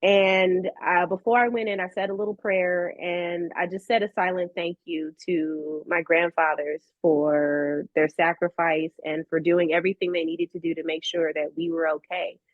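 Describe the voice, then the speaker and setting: A woman's voice: fairly low pitch, level tone, normal speed, few pauses, no room sound, phone-quality sound. A middle-aged American woman telling stories conversationally as an interview guest, emphatic and slangy, repeating phrases for effect. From United States. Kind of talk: animated conversational interview storytelling.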